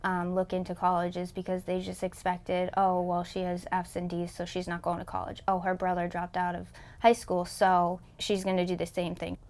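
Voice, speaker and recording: A young woman speaks with a smooth, clean voice, pitched a little low and held fairly flat. She talks candidly about her own experience, like a student giving a testimony. Her reading is reflective and plain. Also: moderate pace, few pauses. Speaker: college student. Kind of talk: personal testimony and poem reading.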